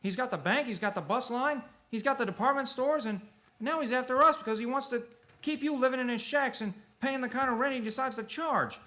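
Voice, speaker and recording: A man's voice: very high-pitched, fairly quick, pushed and bright. A man playing an earnest, flustered young small-town loan manager in a radio play, stammering and repeating himself as he talks. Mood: earnest, pleading. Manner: stammering, repeating words, pleading. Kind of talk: stage-style radio play acting.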